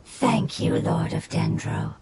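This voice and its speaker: A woman voicing a calm, earnest female guardian of lost desert creatures in video game dialogue. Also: slow, background noise, lightly acted. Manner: earnest, gentle, solemn.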